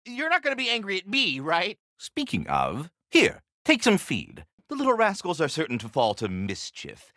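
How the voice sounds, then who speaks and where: A man's voice: sits high, wildly swooping pitch, moderate pace, few pauses, pushed and bright, no echo, studio quality, full character voice. A man voicing a polite, erudite giant squirrel, keeper of a world tree, in a video game, with pompous, florid diction. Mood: anxious, eager. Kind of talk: scripted video game character dialogue.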